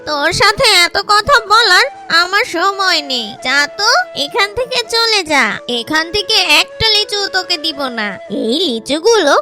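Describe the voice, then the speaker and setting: A woman's voice: extremely high pitch, big pitch swings, unhurried, pushed and bright, soft background music, exaggerated voice. Woman voicing a scornful, scolding cartoon mother. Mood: scornful, angry. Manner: scolding, contemptuous. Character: stern, scornful mother guarding her lychees.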